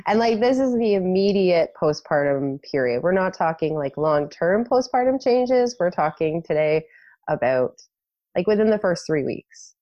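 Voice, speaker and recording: A woman co-hosting a casual podcast, still a little nasal and stuffed up from a cold, reacting quickly. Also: on the low side, animated, mellow, dry recording, muffled recording. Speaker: podcast co-host.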